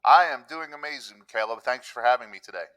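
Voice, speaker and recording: A man's voice: medium pitch, fast talker, sharp tone, low-quality recording. A middle-aged man from Pennsylvania, a guest on a podcast, talking easily and telling stories of his work and past, slipping into other people's voices when he quotes them. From Pennsylvania, USA. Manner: easygoing storytelling, acts out quoted voices. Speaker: public adjuster, podcast guest. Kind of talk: conversational interview. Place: room with a countryside backdrop.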